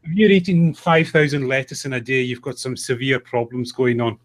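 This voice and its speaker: A man's voice: mid-range voice, fairly even intonation, moderate pace, a little hiss or hum. A man chatting casually and conversationally as a podcast co-host.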